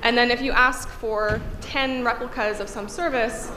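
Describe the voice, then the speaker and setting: A somewhat high-pitched woman in her thirties speaks from a podium microphone, her voice a little congested from a cold. She talks conversationally and fills pauses with frequent "um"s. The echoey conference hall carries a faint room hum behind her. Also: steady tone, bright, forceful tone. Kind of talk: technical conference talk.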